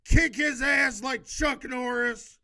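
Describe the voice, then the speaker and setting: Amateur male reader, high register, slow halting delivery, self-correcting, breaks into emphatic off-the-cuff advice. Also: gravelly, bright, forceful tone. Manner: stumbles over words and corrects himself; emphatic, exhorting. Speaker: amateur video host reading a book aloud. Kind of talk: halting read-aloud with improvised casual commentary.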